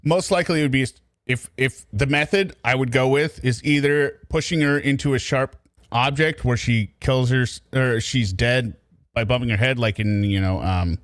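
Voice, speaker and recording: Brief: male host, mid pitch, dry close sound, loose and animated commentary. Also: normal speed, no room sound. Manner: casual, animated, laughs and riffs. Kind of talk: casual true crime commentary.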